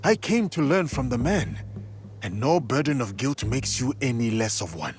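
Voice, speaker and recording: An actor playing an earnest, loyal male warrior in a game cutscene, steady and sincere. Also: mid-range voice, normal speed, natural voice. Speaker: game protagonist. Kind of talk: video game cutscene acting. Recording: game audio with music.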